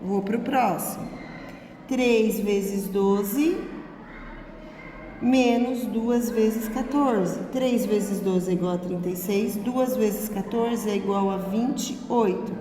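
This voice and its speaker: A woman's voice: mid-range voice, slow, warm tone. A female math teacher with a Brazilian Portuguese accent, working methodically through each calculation aloud and checking in with her students as she goes. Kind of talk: recorded math lesson. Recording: echoey room, long reverb, faint noise.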